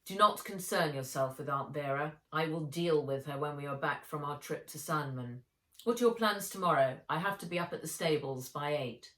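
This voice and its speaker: A woman's voice: sits low, lively intonation, normal speed, fairly bright tone. A woman voicing a solemn, caring male kung fu mentor with formal, authoritative speech in a storybook reading. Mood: calm, firm. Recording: echoey room.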